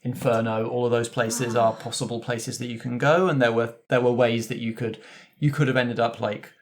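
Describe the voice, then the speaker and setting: British man hosting a relaxed game discussion. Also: medium pitch, normal speed. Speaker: game master and host. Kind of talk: casual cast discussion of a tabletop game.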